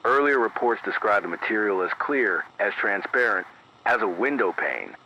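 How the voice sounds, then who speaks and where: A man reading a scripted emergency radio news bulletin in a measured, deliberate, grave manner, through an AM radio filter. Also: fairly low pitch, expressive, bright, forceful tone. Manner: measured, deliberate, grave, clipped official phrasing. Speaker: radio news anchor in a fictional emergency broadcast. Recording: muffled AM radio sound, background static.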